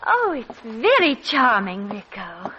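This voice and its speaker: An actress playing a polite, nervous dancer with a genteel, hesitant, pleading manner in an old radio drama. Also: female voice, a little high, wildly swooping pitch, slow, sharp tone, heavily acted. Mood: polite, uneasy. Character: polite, nervous lady dancer, easily frightened. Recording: muffled vintage radio recording, faint noise.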